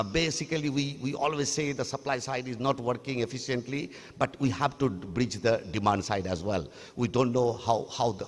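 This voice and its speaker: Halting man presenting in a hall. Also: mid-range voice. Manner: halting, frequent uh and restarts. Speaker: conference presenter. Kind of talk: formal presentation with many fillers. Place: large conference hall. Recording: reverberant hall.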